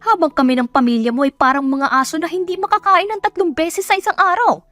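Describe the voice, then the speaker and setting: A woman voicing a cruel middle-aged provincial mother in an audio drama, shouting scornful, scolding questions at her daughter. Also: very high voice, fast, no echo, soft background music, voice pushed up. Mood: furious. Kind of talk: Tagalog audio drama acting.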